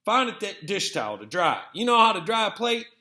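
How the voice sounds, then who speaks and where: A man's voice: high-pitched, very animated, a little fast, bright, forceful tone, reverberant, pitched above the performer's own voice, exaggerated voice. A man voicing a huge, easygoing, teasing older brother, a rural young man, in a storybook reading. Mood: bossy, casual. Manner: casual, teasing.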